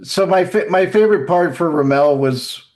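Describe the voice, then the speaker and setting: A man's voice: on the high side, normal speed, a little mellow. A man casually moderating a panel as a podcast host, introducing guests and asking questions.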